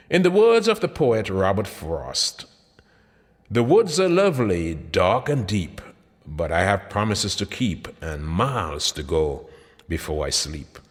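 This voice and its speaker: A man reading his own book aloud in a sermon-like cadence, phrases rising then dropping low, with occasional "uh" fillers. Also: long reverb tail. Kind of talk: audiobook narration.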